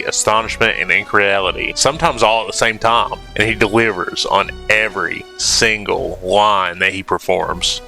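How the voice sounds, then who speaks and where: A man's voice: fairly low pitch, sharp tone. A man narrating a scripted countdown video in a blunt, opinionated, casual way. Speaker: video host. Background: music under the voice-over.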